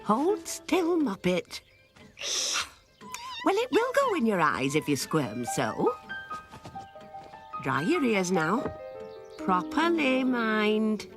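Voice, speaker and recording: A woman's voice: medium pitch, huge pitch swings, takes it slowly, pauses often. A British woman voicing a fussy, doting mother cat in a children's cartoon, scolding and exclaiming as she goes. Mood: fussing. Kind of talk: animated children's storybook acting. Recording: music behind the voice.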